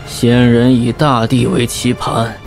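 An actor playing a calm, aloof Taoist master in an audio drama, measured, lofty and quietly defiant. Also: male voice, medium pitch, gravelly, faint music behind. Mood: solemn, resolute.

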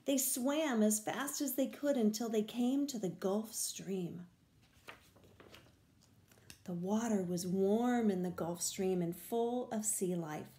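An older woman reading a children's picture book aloud in a deliberate, expressive way, stressing key words. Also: medium pitch, reverberant. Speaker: read-aloud storyteller. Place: small room at home, indoors.

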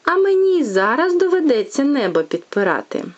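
A woman's voice: high-pitched, big pitch swings, moderate pace, dull audio, voice pushed up. A woman voicing a sly, lazy female fox in a children's storybook, coaxing and sweet-talking in a sing-song way.

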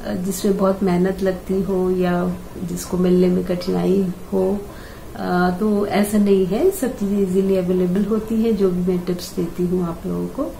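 An older woman with a hoarse, scratchy throat talks slowly and warmly, like a friendly home-remedy host. She hesitates often and clears her throat now and then. The voice sits in a roomy, echoey space with faint background hum. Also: on the low side, level tone. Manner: unhurried, chatty, frequent hesitations and throat clearing. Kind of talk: casual home-remedy tutorial. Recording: echoey room with faint ambient noise.

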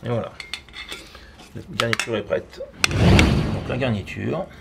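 A man's voice: mid-range voice, slow pace. A middle-aged man giving a casual, step-by-step cooking demonstration as a chef, talking informally while he works. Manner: informal, talking while working with his hands. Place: professional restaurant kitchen, tiled, indoors. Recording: clearly noisy kitchen with clattering dishes. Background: loud kitchen ambience, clattering pans and cutlery.

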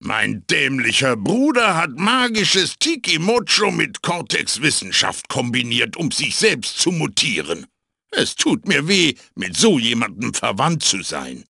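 A man playing a wise, fatherly magical wooden mask in a video game, with a theatrical, instructive manner, dry humor and a gravelly, raspy voice. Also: medium pitch, wildly swooping pitch, unhurried, bright, forceful tone, dry recording, full character voice. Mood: bitter, disapproving. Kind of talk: video game character voice acting, tutorial guidance.

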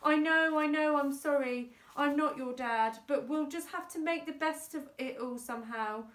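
Woman as plucky, protective aunt, raised pitch. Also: normal speed, a bit bright. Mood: tender, consoling. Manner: soothing with the boy, firm with others. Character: protective, plucky aunt, tender with her young nephew. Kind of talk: children's storybook character reading. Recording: roomy, echoey indoor sound.

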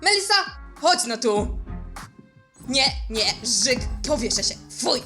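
A woman's voice: sits high, big pitch swings, takes it slowly, a bit bright, exaggerated voice. A woman voicing a bratty, foul-mouthed young female fashion designer who talks in snappy, contemptuous bursts. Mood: scornful. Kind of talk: fan dub acting. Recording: music under the voice.

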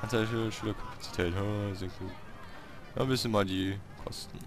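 A man giving casual gameplay commentary, talking to himself in short, halting remarks. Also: lowish voice, steady tone, slight background noise. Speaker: let's player hosting a city-building game series.

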